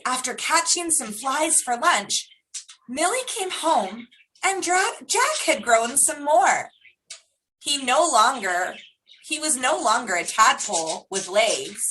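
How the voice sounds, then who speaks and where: A woman with a high, very lively voice hosts storytime for young children. She takes her time and stretches key words, using simple, animated phrasing. Her tone is bright throughout. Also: low-quality recording. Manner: animated, simple child-directed delivery with drawn-out emphasis. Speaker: children's storytime host and teacher.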